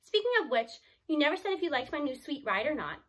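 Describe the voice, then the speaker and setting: Lady reading as a tiny old granny, high lilting voice, teasing and cheerful, storybook style. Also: very animated, fast talker. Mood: playful. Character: tiny, playful, doting old grandmother.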